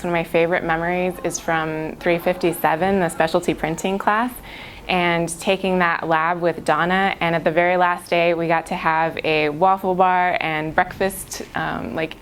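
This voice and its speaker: A young woman, a graduating student, speaking gently and appreciatively about her department. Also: on the low side, flat delivery, moderate pace, a little hiss or hum. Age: young adult. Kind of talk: casual personal reminiscence.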